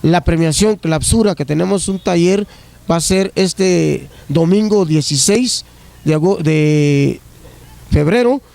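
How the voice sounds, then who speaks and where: A man's voice: a little high, a little slow, creaky, a little mellow, dry recording. A man from Guatemala, a municipal youth coordinator, answering an on-site interview at a stadium in a hesitant way, with many fillers and restarts.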